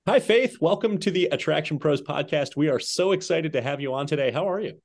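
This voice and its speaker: A man's voice: fairly high pitch, animated, fairly quick, darkish tone. A man co-hosting a podcast in a conversational style, with an enthusiastic, affirming manner. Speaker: podcast co-host.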